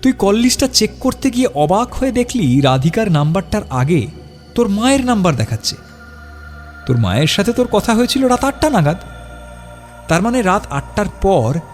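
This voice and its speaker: A man's voice: high-pitched, big pitch swings, moderate pace, darkish tone. A man narrating a scripted horror story in a dramatic, suspenseful storytelling style. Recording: music behind the voice. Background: music.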